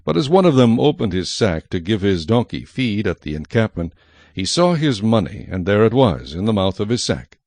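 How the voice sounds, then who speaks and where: A man's voice: on the low side, big pitch swings, moderate pace, darkish tone, no room sound. A man narrating scripture as a scripted, dramatized audio reading.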